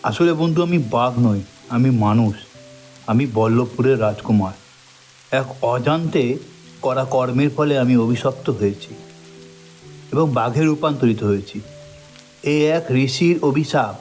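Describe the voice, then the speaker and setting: A man's voice: medium pitch, unhurried, long pauses, darkish tone, soft background music, close to an everyday voice, poor audio. A man voicing a cursed prince turned tiger, an earnest and grateful friend, in a formal cartoon storybook manner. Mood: grateful, solemn.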